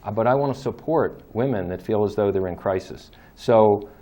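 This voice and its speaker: A man's voice: fairly low pitch, normal speed, warm tone. A man hosting a local talk show, easygoing and encouraging with short replies. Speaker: host.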